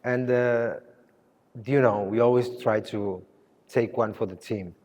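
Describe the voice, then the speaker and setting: A man who grew up in Spain, speaking English as a second language, talks about his art in a halting way, often restarting and searching for words. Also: mid-range voice, steady tone, darkish tone, long reverb tail. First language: Spanish. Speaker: artist. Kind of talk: gallery conversation.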